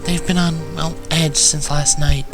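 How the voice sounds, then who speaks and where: A timid young pony mare from a storybook, put on by a male reader. The voice sits high, soft and quiet, speaking gently with small hesitations. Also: flat delivery, a little slow, music in the background. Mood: gentle, hesitant. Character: gentle, shy young female pegasus pony.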